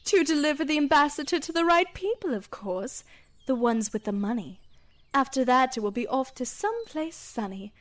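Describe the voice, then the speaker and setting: A woman performs a cruel, haughty sorceress from an audio drama. Her high voice swings widely as she taunts and gloats. The delivery is theatrical and mocking. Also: animated, moderate pace. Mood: smug. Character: sadistic gloating female weather-mage villain, taunting and haughty.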